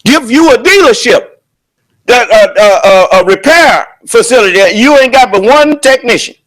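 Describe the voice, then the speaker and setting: Loud, high-voiced preaching man. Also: expressive, moderate pace. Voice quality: loud, booming voice. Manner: emphatic preaching with rhetorical questions. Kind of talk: sermon at a prayer service.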